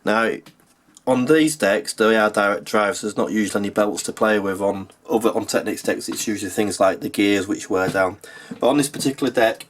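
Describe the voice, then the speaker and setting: A man narrating an informal how-to as a repair technician, speaking matter-of-factly while he works with his hands. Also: on the low side. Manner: matter-of-fact, talking while working with hands. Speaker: repair technician and video host. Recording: clearly noisy recording with clicks.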